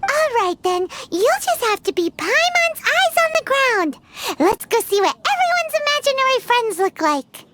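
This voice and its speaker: A woman voicing a tiny floating girl companion who is chatty, excitable, nosy and dramatic, and who speaks of herself in the third person. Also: extremely high pitch, moderate pace, few pauses, heavy background noise. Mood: eager. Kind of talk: game voice acting. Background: game music.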